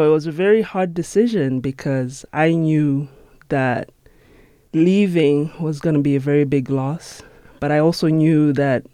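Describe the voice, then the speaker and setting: A man in his thirties from Zimbabwe, speaking as an interview guest in a radio studio in an earnest, measured, reflective way. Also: on the high side, warm tone, no room sound. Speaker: interview guest, nonprofit managing director.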